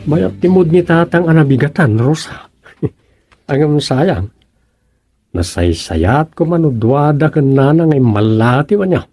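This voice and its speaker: Husky, creaky village captain. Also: male voice, fairly high pitch, expressive, slow pace, darkish tone, dry recording, heavily acted. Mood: cheerful, bantering. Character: sleepy, good-humoured village captain and husband who writes stories. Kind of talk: Ilocano radio comedy drama acting.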